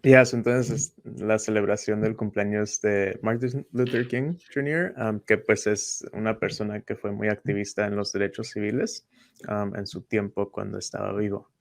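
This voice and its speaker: Low-voiced man co-host, relaxed. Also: normal speed, gravelly. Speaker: co-host and translator. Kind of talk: casual online talk show.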